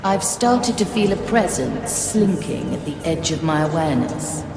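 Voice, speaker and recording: Solemn, echoing ghost ship spirit. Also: female voice, deep voice, very animated, creaky, full character voice. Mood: solemn, uneasy. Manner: solemn, formal, measured. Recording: echoing, long reverb under music.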